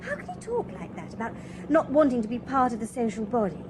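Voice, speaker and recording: A woman's voice: on the high side, huge pitch swings, quick pace, background noise. An actress playing a shallow, cheerful young woman in a radio drama, talking in a breezy, chattering way. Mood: chatty. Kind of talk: BBC radio drama acting.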